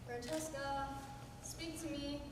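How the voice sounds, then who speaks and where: A woman's voice: high-pitched, fairly even intonation, slow pace. A woman playing a worried friend, pleading and earnest. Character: worried loyal female friend. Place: stage in a large hall. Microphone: distant camera mic. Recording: echoey hall, distant mic, background noise.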